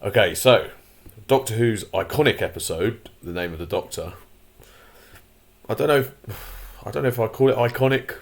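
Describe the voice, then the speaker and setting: Vlog host, male, deep and croaky, rambly and opinionated. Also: expressive, moderate pace. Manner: rambling, many fillers and restarts; opinionated, grumbling asides. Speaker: channel host. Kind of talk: casual commentary vlog.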